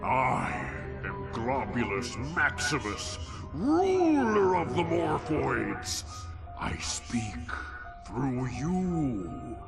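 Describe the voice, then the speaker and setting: A man's voice: mid-range voice, huge pitch swings, extremely slow, gravelly, heavily acted. A man voicing a pompous, menacing evil alien overlord, a giant ball of goo in a cartoon game. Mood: domineering. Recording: game audio under loud music.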